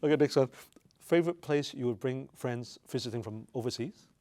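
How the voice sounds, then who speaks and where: A man's voice: medium pitch, brisk, darkish tone. A man speaking as an interview host, putting questions in a conversational style.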